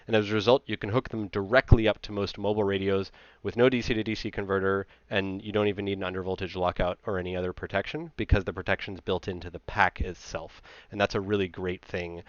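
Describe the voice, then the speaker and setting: A man's voice: low voice, dry recording, highs cut off. A man in his thirties giving an informal technical slide presentation in a conversational, explanatory way.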